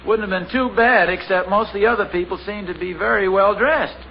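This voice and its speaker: A man's voice: high voice, fairly quick, creaky, dull audio. A middle-aged man playing a genial, easily flustered family father in a radio sitcom, chuckling and amiable. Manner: chuckling, amiable, a little bumbling. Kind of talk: 1950s radio sitcom acting. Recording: scratchy 1950 radio broadcast with hiss.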